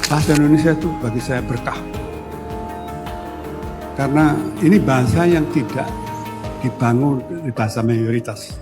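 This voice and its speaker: A man speaking earnestly and deliberately as an interviewee. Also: medium pitch. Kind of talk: reflective talk. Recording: music underneath.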